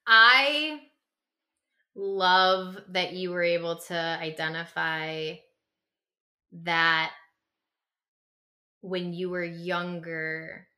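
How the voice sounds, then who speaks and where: Bright-voiced, emphatic woman podcaster. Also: mid-range voice. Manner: earnest, emphatic, poses rhetorical questions. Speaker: podcast co-host. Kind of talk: reflective self-help podcast talk.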